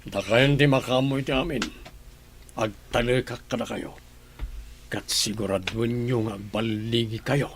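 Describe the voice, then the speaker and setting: A man's voice: mid-range voice, steady tone, a little slow, a little hiss or hum, natural voice. A man acting as a scheming crime boss in a radio play, commanding and scornful. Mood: bossy.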